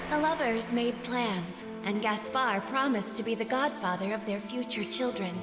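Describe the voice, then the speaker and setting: Lady doing a solemn magic spirit narrator for a game, highish voice, big echo. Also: close to an everyday voice. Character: solemn magical female storytelling spirit of an enchanted amulet. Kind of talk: scripted storybook narration. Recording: long echoing reverb over music.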